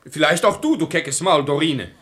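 A man's voice: a little high, lively intonation, moderate pace, a bit bright. An actor playing a duped, indignant middle-aged father in a verse radio play, exclamatory and theatrical. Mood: helpless anger.

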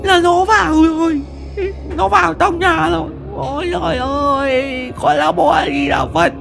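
A man voicing a scared young village wife who lisps and stammers, high and shrill. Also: animated, normal speed, vocal fry, over music, full character voice. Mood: panicked. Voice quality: lisping, stammering.

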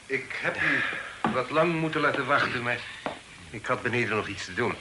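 An actor playing a secretive, authoritative middle-aged father in hiding in a period radio drama, speaking formally and deliberately. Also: mid-range voice, lively intonation, lightly acted. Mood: measured, courteous. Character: secretive, authoritative fugitive father, stern and shrewd. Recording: noisy old radio drama recording.

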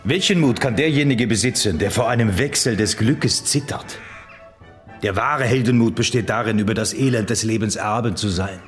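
An actor in a radio play playing a boastful, defiant emperor with grandiose, declamatory delivery. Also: male voice, on the low side, moderate pace, soft background music, lightly acted.

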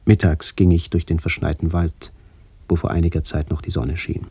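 Melancholy middle-aged narrator, low and dark, very breathy, introspective, measured poetic radio play monologue. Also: male voice, level tone, dry recording, heavily acted. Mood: contemplative. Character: brooding middle-aged man back in his childhood village, melancholy narrator.

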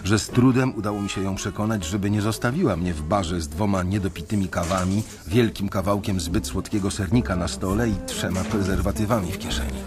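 A young man narrating a radio drama in the first person, measured and brooding. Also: deep voice, over music. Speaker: narrator and main character.

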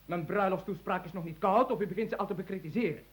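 A man's voice: high voice, fast, husky. An actor playing a confused, irritable man who rambles and swings into pompous outbursts, in a radio play. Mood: indignant. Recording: noisy old radio play recording.

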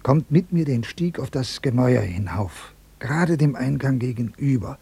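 An actor playing a dutiful, practical castle warden in a German radio drama, with a rough, husky voice. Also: male voice, medium pitch, lively intonation, normal speed, warm tone, heavily acted. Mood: dutiful, firm.

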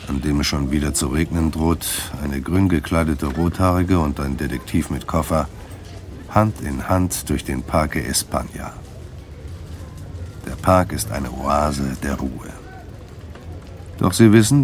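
An actor as a wry, hard-boiled storyteller, giving measured literary narration in a radio drama. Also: male voice, rumbling low, slight background noise.

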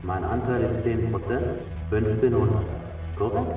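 A man plays a slick little swindler in a radio crime play, clipped and insistent. His tone is mellow and dark, heard from a distance in a heavily echoing space. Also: on the low side, fairly even intonation, a little slow, faint music behind, natural voice. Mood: businesslike. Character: slick small-time male swindler, businesslike and insistent. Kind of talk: German radio crime drama acting. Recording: very echoey, distant from mic.

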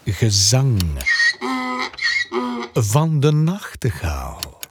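A man narrating a children's radio play as a storyteller, playful and expressive. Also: mid-range voice, drawn-out words, heavy background noise.

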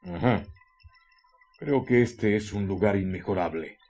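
A man voicing a sinister, scheming husband who mutters deliberately to himself in a radio play. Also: lowish voice, a little mellow. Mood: gloating. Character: scheming, sinister husband plotting an ambush on Mars. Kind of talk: radio play villain monologue.

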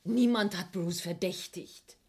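An actress playing a gentle companion who pleads for calm in a vintage crime radio play. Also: female voice, on the low side, slow, breathy edge. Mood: soothing, urgent.

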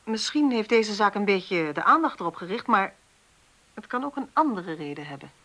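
An actress in a radio drama playing a brisk female official who questions people curtly. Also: medium pitch, sing-song, bright, forceful tone. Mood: probing. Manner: probing, curt.

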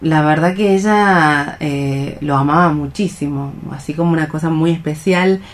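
A woman with a notably low voice co-hosts a radio conversation. She recounts things casually and haltingly, leaning on filler phrases between thoughts. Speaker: radio programme co-host.

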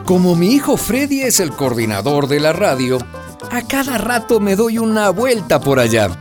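A man's voice: high voice, big pitch swings, normal speed, over music. A man playing a nosy, folksy neighborhood father who narrates a radio drama in a confiding, gossipy way.

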